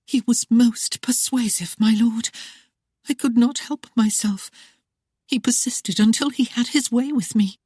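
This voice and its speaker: A woman's voice: a little high, a little slow, mellow, slightly rough, dry recording, studio quality, voice pushed up. A woman voicing a proud young noblewoman held prisoner, speaking in a formal old-fashioned way, defiant and pleading. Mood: timid.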